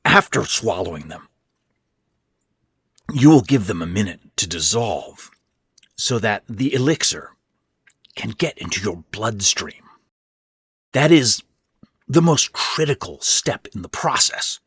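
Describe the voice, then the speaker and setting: Guy doing cranky sick old man in a horror audio drama, hissy growly bossy, raspy, pitch jumps all over. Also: lively intonation, takes it slowly, pauses often, fairly bright tone, no room sound, studio quality, exaggerated voice. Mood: grimly insistent. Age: older. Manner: hissing, growling, emphatic, commanding. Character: exhausted, sick old man in wheelchair, menacing, irritable tyrant. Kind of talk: dramatic horror fiction reading.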